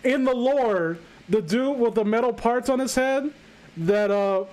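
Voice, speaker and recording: A man's voice: extremely high pitch, measured pace, darkish tone, poor audio. A man hosting a live stream, chatting casually and bluntly about films, rambling and swearing as he gives opinions.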